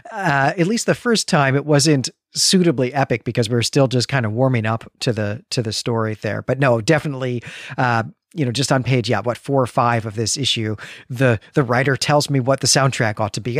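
A man's voice: medium pitch, no room sound, studio quality. A man co-hosting a podcast, chatting casually and with enthusiasm about what he has been reading. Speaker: podcast host. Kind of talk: casual book discussion podcast.

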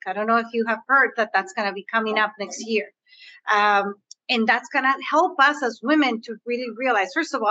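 A woman's voice: a little high, pushed and bright, dry recording, lo-fi sound. A woman with a Spanish accent, raised on the US-Mexico border, answering interview questions about negotiation in an earnest way and often repeating words as she thinks. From US-Mexico border region. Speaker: guest, negotiation coach.

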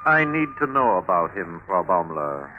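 An actor playing a composed magistrate who questions witnesses with formal insistence, in an old-time radio drama style. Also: male voice, sits low, very animated, normal speed, over music. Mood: insistent questioning. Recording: tinny, hissy vintage radio broadcast.

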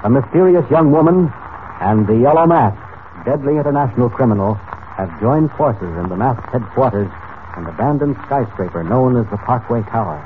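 A man's voice: mid-range voice, animated, normal speed, noisy background. Dramatic male old-time radio announcer, tinny. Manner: dramatic, declamatory. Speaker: announcer and narrator. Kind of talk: 1940s radio serial scripted narration.

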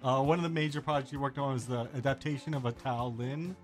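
A man hosting a radio show in a casual interview style, speaking informally and haltingly. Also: medium pitch, soft background music.

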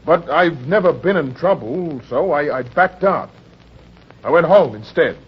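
An actor playing a frightened, down-on-his-luck husband and father in old-time radio crime drama, with an American accent, a husky voice and stammering, pleading delivery. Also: fairly high pitch, expressive, a little slow, full character voice. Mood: anxious confessing. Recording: dull, lo-fi old radio recording.

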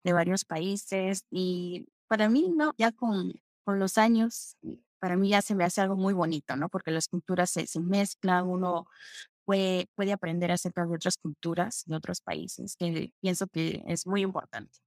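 Low-voiced woman from Peru, relaxed. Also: a little slow, dry recording, clean studio sound. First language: Spanish. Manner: relaxed storytelling, mixing in English phrases. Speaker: guest. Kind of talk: conversational interview.

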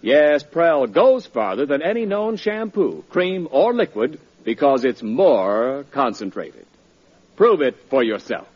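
A man's voice: mid-range voice, big pitch swings, takes it slowly, slightly rough. A man announcing on 1940s radio, with polished, emphatic sales delivery. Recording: muffled old radio broadcast.

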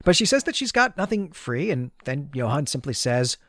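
A man's voice: on the high side, sing-song, normal speed, a bit bright, no echo, studio quality. Man chatting through a story recap. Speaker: podcast co-host. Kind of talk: conversational literary recap and commentary.

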